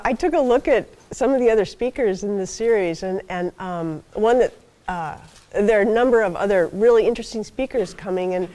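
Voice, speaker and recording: Soft-spoken older woman lecturing. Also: medium pitch. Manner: soft-spoken, many ums and okays. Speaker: guest lecturer. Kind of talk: university seminar talk. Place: lecture hall.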